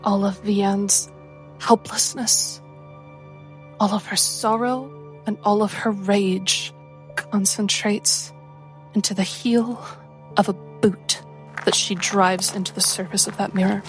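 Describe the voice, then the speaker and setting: A woman's voice: mid-range voice, fairly even intonation, takes it slowly, long pauses. A woman player in a tabletop roleplay, narrating her character's actions dramatically in the third person. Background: music.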